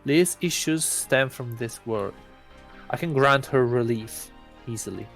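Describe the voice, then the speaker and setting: A man's voice: mid-range voice, measured pace, vocal fry, fairly bright tone, soft background music. A man voicing an aloof, deluded god posing as a student in a visual novel, musing in a self-important, awkwardly earnest way. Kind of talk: first-person visual novel narration.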